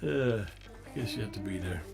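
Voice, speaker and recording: A man's voice: fairly low pitch, animated, normal speed, vocal fry. An actor playing a chatty, easily sidetracked male fighter who offhandedly recounts a battle in a game trailer. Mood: rambling. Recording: game trailer audio with music. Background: music.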